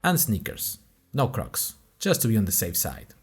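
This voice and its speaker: A man's voice: lowish voice, huge pitch swings, moderate pace, breathy edge, soft background music, exaggerated voice. A man playing a wry college freshman who narrates his audio diary in a dry, conversational podcast style with hesitant asides. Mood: self-deprecating. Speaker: podcast host character.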